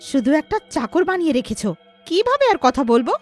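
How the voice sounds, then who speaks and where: A woman voicing a jealous young wife in a story, sharp and complaining. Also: high-pitched, sing-song, brisk, soft background music, pitched above the performer's own voice. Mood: irritated. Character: jealous, snappish young wife resenting her mother-in-law.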